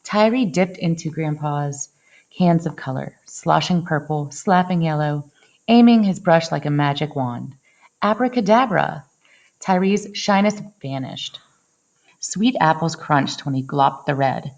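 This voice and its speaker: A woman reading a picture book aloud in an expressive storytelling style, dwelling on action words with dramatic phrasing. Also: low voice, unhurried, lo-fi sound. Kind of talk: expressive children's read-aloud narration.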